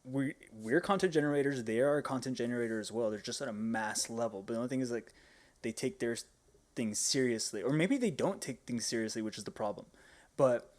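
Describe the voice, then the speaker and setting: A man's voice: medium pitch, a little fast. A man co-hosting a casual podcast, talking in a rambling, slangy, informal way. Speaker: podcast co-host.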